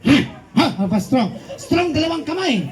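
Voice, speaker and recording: A high-voiced Filipino woman entertains children at a live puppet show, with a clearly audible crowd behind her. She coaxes and playfully scolds in an animated stage-host manner. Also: a little slow. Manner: animated, coaxing, mock-scolding. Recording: live event with loud crowd. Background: audience crowd.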